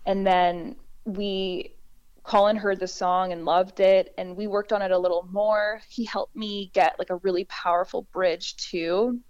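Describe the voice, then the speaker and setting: A woman singer-songwriter with a low voice, talking through how she wrote a song in a relaxed, reflective way with frequent restarts. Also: a little slow, smooth, muffled recording. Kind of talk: conversational interview.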